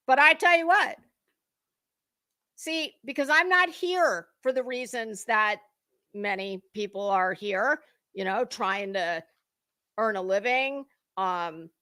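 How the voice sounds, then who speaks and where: Woman, sharp forceful tone, slow delivery, emphatic stresses, confrontational, off-the-cuff commentary. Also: high voice. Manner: blunt, sweary, confrontational. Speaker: online commentary creator. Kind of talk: unscripted gossip commentary rant to viewers.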